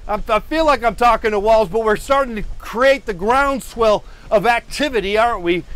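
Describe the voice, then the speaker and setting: A man's voice: sits high, normal speed, fairly bright tone, smooth. A man, hosting a live painting stream from a cluttered indoor studio, talking on a lapel mic while walking on a treadmill, meandering and self-deprecating, with many fillers and restarts. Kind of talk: rambling live-stream chat.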